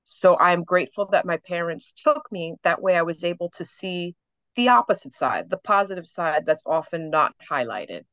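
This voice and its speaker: Chatty, slightly low-voiced woman. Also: animated, normal speed, fairly bright tone, dry recording, rough recording. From New York City. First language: Haitian Creole. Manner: chatty, reflective, laughs often. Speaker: author and guest. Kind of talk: podcast interview.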